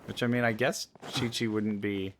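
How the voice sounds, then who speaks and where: A man's voice: fairly low pitch, steady tone, moderate pace, slight background noise. A man giving casual, rambling let's play commentary, musing aloud as he reacts.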